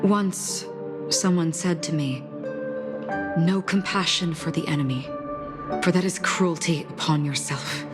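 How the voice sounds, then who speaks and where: A woman voicing a stoic, guilt-burdened swordswoman in a video game, speaking gravely and in a measured, hesitant way. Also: deep voice. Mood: solemn counsel. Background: background music.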